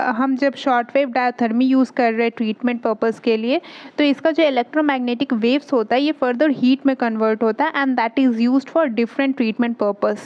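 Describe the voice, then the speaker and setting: A woman's voice: a little high, lo-fi sound. A woman with an Indian accent giving an explanatory lesson in simple steps, didactic, asking and answering her own questions and mixing in English technical terms. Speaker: physiotherapy teacher.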